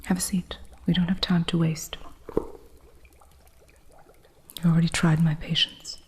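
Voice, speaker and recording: A woman voicing a stern, impatient witch who runs a curio shop, in a soft whispered ASMR roleplay with a strict, haughty manner. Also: fairly low pitch, level tone, a little hiss or hum, close to an everyday voice. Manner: strict, haughty, slow and deliberate.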